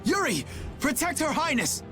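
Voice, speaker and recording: A man's voice: very high voice, sing-song, moderate pace, sharp tone, far from the mic, faint music behind, full character voice. A man playing an earnest, dutiful, loyal young knight in an anime video game. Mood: urgent.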